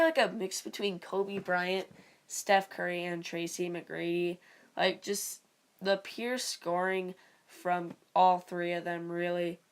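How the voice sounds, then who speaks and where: A woman with a raspy voice and a stuffy nose, giving rambling, casual sports commentary for her video channel. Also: lowish voice. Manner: rambling, full of "like" and "you know". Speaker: video creator.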